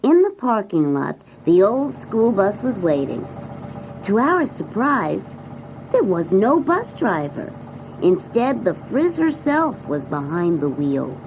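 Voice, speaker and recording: A woman narrating a scripted children's story in an expressive storytelling manner. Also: mid-range voice, slow pace, noisy background. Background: music.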